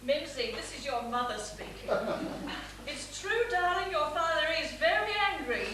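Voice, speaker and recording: A woman's voice: high voice, animated, normal speed, crowd noise. An actress playing a fretful, flustered middle-aged mother of a bride in a live comic stage play. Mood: placating. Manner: fretful, plaintive, theatrical. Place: live stage in a small theatre. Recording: echoey theatre, distant mic, audience noise. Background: audience.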